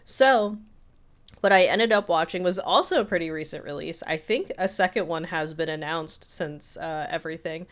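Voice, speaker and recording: A woman with a slightly low voice talks as a podcast co-host. She tells anecdotes in a loose, conversational style, slipping into quotes of what people said. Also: moderate pace. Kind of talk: casual chat, telling anecdotes.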